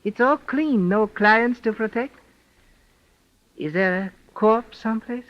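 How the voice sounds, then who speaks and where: An actor playing a cynical, wisecracking doctor, blunt and dry, in a 1940s radio mystery. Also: male voice, sits high, slow. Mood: insistent, sardonic. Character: cynical, sardonic male doctor, detective's friend. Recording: dull, lo-fi vintage radio recording.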